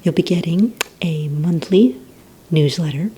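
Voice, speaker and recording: A woman doing a soft-spoken ASMR roleplay as a polite receptionist, in a gentle, breathy near-whisper with unhurried delivery. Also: on the low side, a little hiss or hum, rough recording. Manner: gentle, polite, unhurried customer-service patter. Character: courteous, organized female villain-agency receptionist. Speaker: ASMR roleplay performer as a villain recruitment receptionist.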